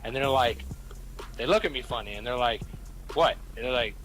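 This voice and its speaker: A young man from Kentucky with a Southern drawl swaps rambling stories in a loose chat. His voice is bright and forward, he swears freely and often restarts his sentences, and faint music plays under him. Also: mid-range voice, normal speed, rough recording. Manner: rambling, profane, many restarts. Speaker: podcast host. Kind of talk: casual storytelling chat.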